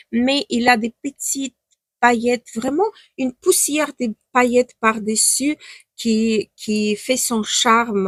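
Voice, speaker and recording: A young woman with a Ukrainian accent, chatting casually about eyeshadow palettes on her beauty channel, pausing often with "euh" and restarting her sentences. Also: on the high side, a bit bright, no echo, studio quality. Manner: chatty, with frequent hesitations and restarts. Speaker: beauty vlogger. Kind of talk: casual makeup review.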